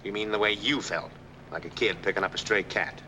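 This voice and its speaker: A man's voice: lowish voice, fairly even intonation, fairly quick, sharp tone, poor audio. An actor playing a brusque, skeptical American man in a 1940s film noir, matter-of-fact and wry. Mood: impatient. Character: brusque, skeptical married man, hard-nosed fact-finder. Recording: 1940s film soundtrack, faint background noise.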